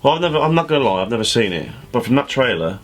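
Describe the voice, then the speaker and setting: A British man co-hosting a casual chat, speaking in rambling, halting sentences. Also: medium pitch, very animated, faint music behind. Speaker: podcast co-host.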